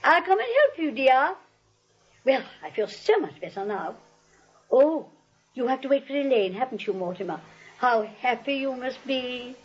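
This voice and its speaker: An actress playing a sweet, serene elderly spinster in a 1940s radio comedy, genteel and matter-of-fact. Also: female voice, high-pitched, big pitch swings, moderate pace, husky, full character voice. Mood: gracious, cheerful. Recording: dull vintage radio recording.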